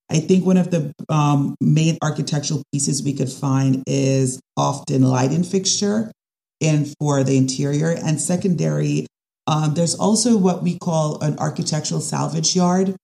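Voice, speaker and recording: A woman, a design specialist talking through her ideas in a casual interview, often pausing and restarting. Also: low voice, creaky, dark tone. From Caribbean. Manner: thoughtful, with frequent restarts and fillers. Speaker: design and construction specialist guest.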